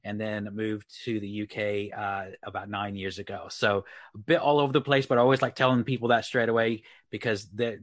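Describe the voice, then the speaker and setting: A man's voice: on the low side, little pitch movement, brisk. A man, a guest coach giving casual, friendly interview answers full of fillers. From Texas, USA. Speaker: guest, online marketing coach. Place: lives near Leeds, UK.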